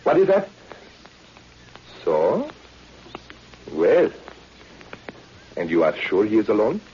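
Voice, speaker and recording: A man's voice: fairly low pitch, huge pitch swings, takes it slowly, heavily acted. An actor playing a cold, commanding male spy boss in an old radio drama, with a stern, formal manner. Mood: irritated, commanding. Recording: dull, noisy vintage radio recording.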